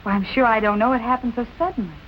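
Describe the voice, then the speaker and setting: Actress as a high-voiced plucky young noir model. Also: female voice, fairly even intonation, rapid-fire, a little hiss or hum, natural voice. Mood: polite, evasive. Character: plucky young photographic model covertly fishing for murder gossip. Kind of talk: 1940s film noir acting. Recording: dull old film soundtrack, background music.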